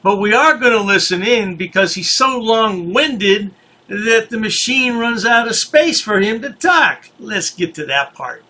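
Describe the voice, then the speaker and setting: A man's voice: high-pitched, animated, a little slow, sharp tone. A man hosting, mocking a caller in sarcastic commentary. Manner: sarcastic, taunting. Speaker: commentator host.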